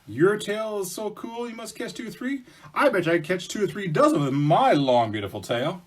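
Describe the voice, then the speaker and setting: A vain storybook bear, acted out by a male storyteller, full of pompous self-admiration. He pushes his voice up high and loud, gushing like a show-off. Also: very animated, moderate pace. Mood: eager, cocky.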